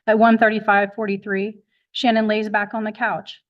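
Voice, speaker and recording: A woman's voice: mid-range voice, level tone, normal speed. A woman speaking as a prosecutor, methodically reading a documented log aloud in formal, factual fashion, recorded with dull courtroom sound.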